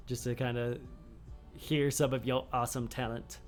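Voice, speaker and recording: A man's voice: mid-range voice, level tone, moderate pace, soft background music. Rambling, laid-back male podcast host. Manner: rambling, full of fillers and restarts, swears casually. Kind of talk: casual podcast conversation.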